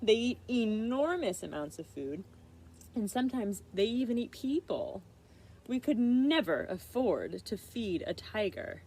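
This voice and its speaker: A woman reading a picture book as a firm, fussy mother, speaking emphatically and deliberately. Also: on the high side, sing-song, dark tone, breathy edge, exaggerated voice. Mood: firm, warning. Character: firm, fussy mother refusing her son's pets. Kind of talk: picture book read-aloud.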